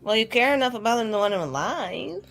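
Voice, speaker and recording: A woman's voice: fairly high pitch, lively intonation, fast, a bit bright. A woman playing in a tabletop roleplaying game, improvising casual, rambling in-character dialogue. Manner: rambling and conversational, heavy use of like. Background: music.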